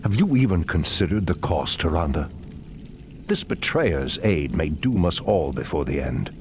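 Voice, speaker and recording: A stern elf druid declaims with a very low, creaky voice. He sounds righteous and swings widely in pitch, in game cinematic style over faint noise. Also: sing-song, measured pace, full character voice. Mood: warning. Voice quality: gravelly. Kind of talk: video game cinematic acting.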